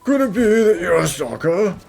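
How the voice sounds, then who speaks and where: A man's voice: sits high, sing-song, moderate pace, creaky, darkish tone, soft background music, exaggerated voice. A man voicing a stern, protective older butler with a stiff, formal, scolding manner in a cartoon fan dub. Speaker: voice actor in amateur cartoon dub.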